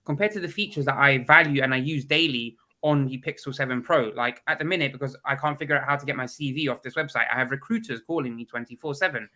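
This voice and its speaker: A man's voice: medium pitch, fast, hardly any pauses, bright, forceful tone. A man, a guest in a casual video call chat, talking bluntly and with strong opinions while stuffed up from a cold.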